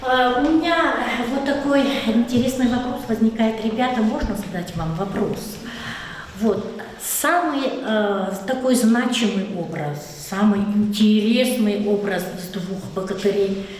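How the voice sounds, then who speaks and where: High-voiced woman teacher in an echoey classroom. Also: measured pace, a little mellow, slight background noise. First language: Lezgian. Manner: asks the class questions and praises answers. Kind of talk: classroom lesson. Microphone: far camera. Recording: echoey room picked up from far off.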